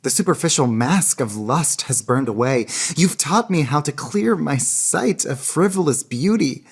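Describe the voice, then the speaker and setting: A woman playing a very deep, husky romantic lover. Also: animated, unhurried, exaggerated voice. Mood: tender, pleading. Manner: fervent, poetic, theatrical. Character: Robin, ardent, earnest romantic lover. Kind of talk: radio theater acting. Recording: music under the dialogue.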